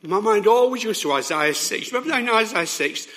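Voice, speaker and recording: Male preacher, sore-throated and hoarse, bright edgy tone, emphatic delivery. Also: high voice, lively intonation, fairly quick. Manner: emphatic, checks in with the listeners. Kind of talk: sermon.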